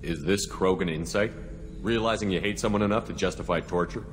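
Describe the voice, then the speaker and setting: Actor as fast, low-voiced military commander. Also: male voice, lightly acted. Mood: calm, questioning. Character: composed, probing military commander hero. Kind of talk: video game character dialogue. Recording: clear ambient noise behind.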